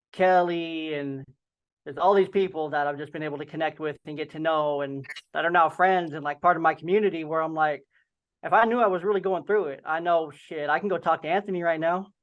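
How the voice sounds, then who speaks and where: Young man with a slight lisp. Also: fairly high pitch. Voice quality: slight lisp and occasional stutter. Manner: rambling, filler-heavy storytelling. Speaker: podcast host and guest. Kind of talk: casual video-call interview. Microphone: video call. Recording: dull lo-fi video call audio.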